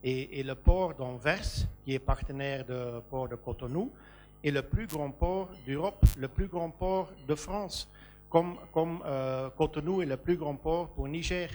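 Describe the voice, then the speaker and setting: A man's voice: fairly high pitch, measured pace, darkish tone. A man from Belgium speaking on a business panel about a port, hesitant with many fillers and restarts. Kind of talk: panel discussion.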